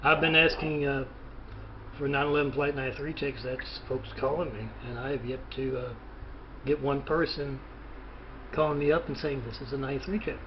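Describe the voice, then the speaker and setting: A man rambling haltingly through a phone interview, with hesitant pauses and a muffled, noisy recording. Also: mid-range voice, fairly even intonation. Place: phone call.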